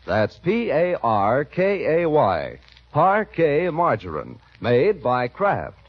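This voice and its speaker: A male announcer reading a scripted 1940s radio commercial and credits, persuasive and measured. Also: fairly high pitch, wildly swooping pitch. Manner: smooth, persuasive, spelling things out. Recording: dull 1940s radio broadcast.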